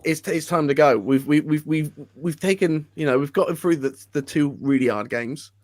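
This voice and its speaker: A man's voice: fairly high pitch, moderate pace, a little mellow, a little hiss or hum. An upbeat man co-hosting a casual football chat, bold and enthusiastic. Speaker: co-host.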